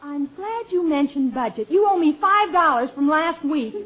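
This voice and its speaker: An actress playing a spirited, argumentative young wife in a 1940s radio sitcom. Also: female voice, sits high, animated, moderate pace. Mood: indignant. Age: young adult. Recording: dull 1940s radio recording.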